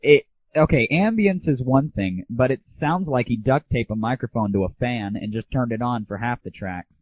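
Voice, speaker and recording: A man's voice: mid-range voice, lively intonation, normal speed, no echo. A man hosting an internet audio show, giving casual, opinionated solo commentary with irreverent, crude humor. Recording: thin phone-like early internet audio.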